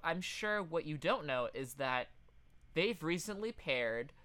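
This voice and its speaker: A man's voice: fairly high pitch, expressive, a little slow, sharp tone. A man co-hosting a comedy podcast, talking in informal, joking patter. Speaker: podcast co-host. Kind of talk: casual comedic banter, mock ad read.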